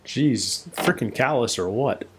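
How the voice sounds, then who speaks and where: A man's voice: mid-range voice, animated, a little slow, a crowd in the background. A man hosting a reaction video, casually thinking aloud with short asides and questions as he comments on a TV episode. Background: TV show soundtrack.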